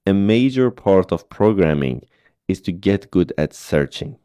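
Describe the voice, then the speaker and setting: A man's voice: sits low, mellow, clean tone, no echo, studio quality. A man, walking through coding exercises step by step and checking in with "okay?" and "right?". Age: 30s. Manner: patient step-by-step explaining with frequent "okay?" checks. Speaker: programming instructor. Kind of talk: screen-recorded coding tutorial.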